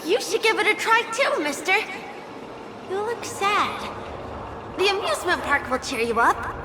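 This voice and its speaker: An innocent little girl in a video game, cheerful and sweetly chatty. Her high voice swings up and down and takes its time over each phrase. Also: very animated, a little slow, background noise, exaggerated voice. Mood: innocent, eager. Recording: long hall reverb.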